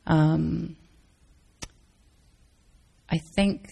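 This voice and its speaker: Woman, Zen teacher, low, gravelly, flat, measured. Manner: thoughtful, searching, with restarts and soft laughs. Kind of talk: dharma talk Q&A answers.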